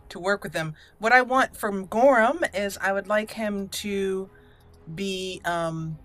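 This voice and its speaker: Lower-pitched woman narrating a video game. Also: fairly bright tone. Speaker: gameplay host. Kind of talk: casual let's play commentary with roleplay storytelling.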